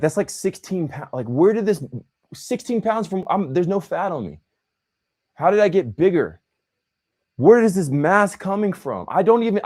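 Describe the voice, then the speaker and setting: Young man, host, casual chat, slangy, animated, pitch jumping around. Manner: animated, slangy, says bro and man a lot.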